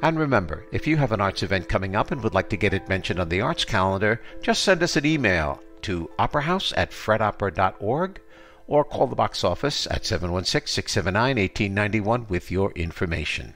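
A man's voice: on the low side, sing-song, fairly quick, a bit bright, faint music behind. An older American man hosting an arts podcast, announcing and interviewing.

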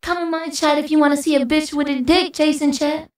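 A man voicing a brash, squeaky young woman through a female voice changer, bantering casually on a livestream. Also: level tone, fairly quick. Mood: brash, teasing. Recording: roomy, distant mic through voice changer.

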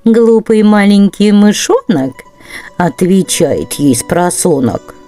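A woman's voice: mid-range voice, expressive, takes it slowly, warm tone, soft background music. A woman storyteller narrating children's verse expressively.

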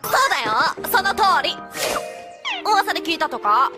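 Cocky cartoon pegasus girl, high lively voice, tomboyish, boastful. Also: moderate pace, music in the background. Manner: boastful, casual tomboyish speech. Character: cocky, brash young female pegasus pony.

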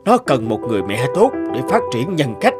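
A man's voice: mid-range voice, huge pitch swings, music in the background. Kindly, earnest older policeman. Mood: earnest, firm. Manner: earnest, measured, moralizing. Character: kindly, principled older male policeman, grandfatherly and firm. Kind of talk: animated cartoon dubbing.

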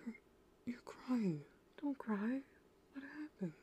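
A woman voicing a gentle, caring teenage boy in an anime audio roleplay, soothing a partner in a breathy voice that often drops to a whisper. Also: on the high side, lively intonation, slight background noise. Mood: tender concern. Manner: soothing, tender, slow.

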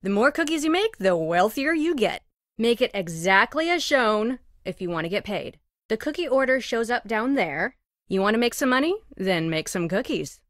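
An actress playing a money-minded cookie shop boss in a video game. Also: female voice, on the high side, very animated, moderate pace. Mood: pitching, bossy. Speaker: game character.

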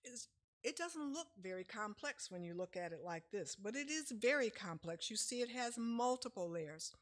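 A woman's voice: on the high side, animated, normal speed. Woman presenting a chatty health lesson. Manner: chatty and explanatory, with fillers. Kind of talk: casual lecture with slides. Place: church sanctuary, speaking at a lectern.

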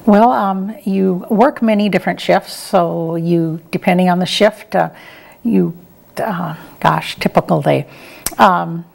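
A woman's voice: lowish voice, slow, gravelly. A middle-aged woman answering interview questions in a conversational, plain-spoken and somewhat hesitant way. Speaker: interview guest, retired air traffic controller and professor.

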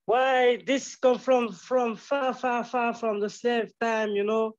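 Man, halting English, dull audio. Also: very high-pitched, fairly even intonation. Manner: halting, searching for English words, Jamaican turns of phrase. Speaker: guest musician. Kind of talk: casual conversational interview.

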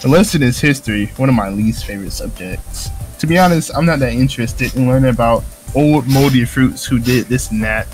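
A man's voice: medium pitch, a little fast, hardly any pauses, faint music behind, low-quality recording. A young man in casual, sweary gameplay commentary, reading a visual novel aloud with friends. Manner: sweary, slangy.

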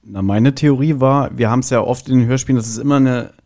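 A man's voice: mid-range voice, steady tone, a little fast, dark tone. A man in a casual podcast discussion, explaining his observations and thinking aloud. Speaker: podcast reviewer.

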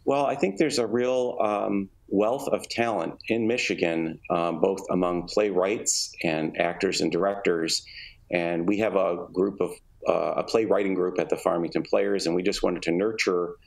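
Very deep, creaky middle-aged man. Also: steady tone, moderate pace, rough recording. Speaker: guest, theater artistic director. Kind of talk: conversational interview answers. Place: room at home. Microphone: video call.

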